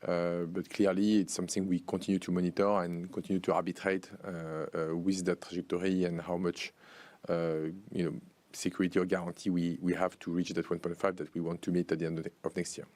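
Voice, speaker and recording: A man answering investor questions as a finance executive on an earnings call, speaking in halting phrases with many pauses. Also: low voice, flat delivery, a little mellow, dry recording. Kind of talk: earnings call Q&A, unscripted explanation.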